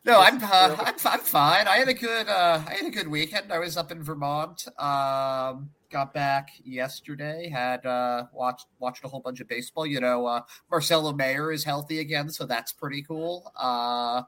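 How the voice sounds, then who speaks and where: Bright, congested-sounding man. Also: on the high side, normal speed, few pauses. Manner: hesitant, full of fillers. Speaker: podcast co-host. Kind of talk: casual sports chat.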